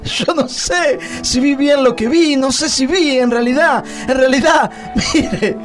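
A man's voice: very high voice, unhurried, soft background music. An Argentine man acting a flighty, evasive male witness in a parody radio play, hesitant and rambling. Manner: hesitant, rambling, mock-philosophical.

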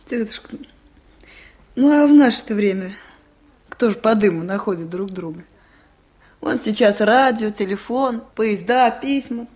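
A woman playing a caring younger village mother in a 1970s radio play, speaking softly and politely. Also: fairly high pitch, animated, a little slow, pauses often. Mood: puzzled.

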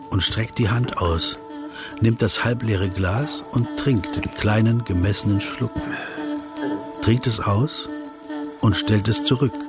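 An actor in a radio play voicing a polite, persistent male interviewer who probes, flatters and gives deliberate literary asides. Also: lowish voice. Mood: hushed observing. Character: persistent, courteous male interviewer, flattering, observant, narrating into dictaphone.

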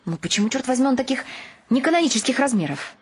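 A woman's voice: high-pitched, sing-song, quick pace, breathy edge, exaggerated voice. An actress playing a wry, ironic woman writer, telling and acting out her story expressively in a radio play. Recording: music under the voice.

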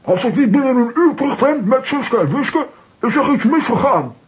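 A man playing an excitable, bumbling comic-strip hero in a children's audio play, theatrical and exclamatory. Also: very high voice, moderate pace, breathy edge, heavily acted. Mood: tense, determined. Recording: dull, noisy old audio play recording.

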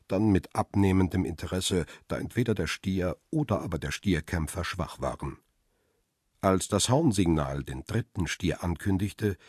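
A man narrating a crime radio play in the first person, calm and reflective, and speaking lines as the paparazzo protagonist. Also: low voice, slightly rough, dry recording, clean studio sound.

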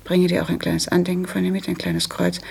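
An actress playing a dutiful, matter-of-fact widowed daughter in a German radio play, speaking in an earnest, measured way with a husky, creaky voice. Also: female voice, lowish voice, flat delivery, noisy background. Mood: earnest, composed. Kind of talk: German radio play acting, letter monologue.

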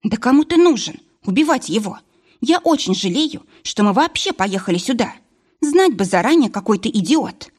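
Woman acting out panicky, hot-tempered young woman, high-pitched. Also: big pitch swings, a little slow, darkish tone, husky, full character voice. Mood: angry, urgent. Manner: exclamatory, agitated, pleading.

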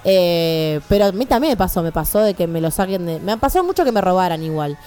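A woman in her twenties with an Argentine accent, telling colloquial, animated stories as co-host in a casual radio chat. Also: on the low side, a little fast. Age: 20s. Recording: music playing behind. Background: background music.

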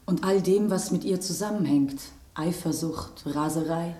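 An actress playing a genteel, sentimental rose with prim, flowery diction in a German radio drama. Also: female voice, on the low side, moderate pace, warm tone, roomy sound, natural voice.

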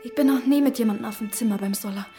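A woman's voice: on the high side, very fast, over music, lightly acted. An actress playing a naive, clinging young woman in radio-play dialogue. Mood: defensive pleading.

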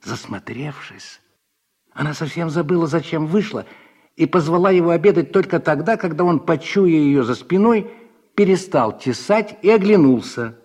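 A man narrating a literary radio drama in a measured, expressive storytelling manner. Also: a little high. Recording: husky-sounding old radio recording with music.

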